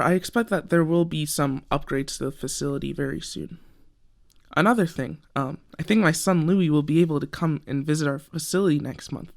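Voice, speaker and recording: A man playing an earnest research scientist in a radio play, recording a log and thinking aloud haltingly. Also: on the high side, vocal fry.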